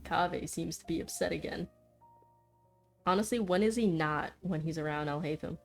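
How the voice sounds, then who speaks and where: A woman's voice: fairly low pitch, normal speed, gravelly, soft background music. A woman streamer doing a casual playthrough, reading game dialogue and reacting to it.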